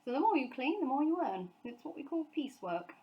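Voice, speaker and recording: A brisk, bossy factory foreman from a children's storybook, acted out by a woman reader. He talks in plain, colloquial terms, like someone used to handing out instructions. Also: high-pitched, sing-song, muffled recording, pitched above the performer's own voice. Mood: encouraging.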